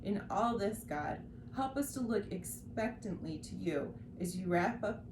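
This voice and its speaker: A woman hosting a devotional read-along who reads scripture and reflections aloud in a gentle, earnest way, with light informal asides. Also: medium pitch, animated, measured pace. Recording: roomy echo with faint background noise.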